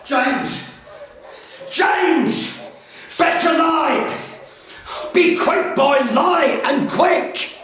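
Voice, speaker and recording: Brief: frantic servant yelling for help, high and slow, distant echoey shout. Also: male voice, exaggerated voice. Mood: alarmed, shouting. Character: panicked servant shouting an alarm at night.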